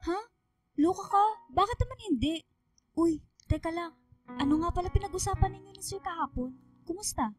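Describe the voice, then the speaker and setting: A woman playing a chatty, excitable young student in a radio drama, slangy and dramatic, with a Filipino accent. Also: extremely high pitch, a little fast, long pauses, recorded from a distance, music in the background. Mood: curious.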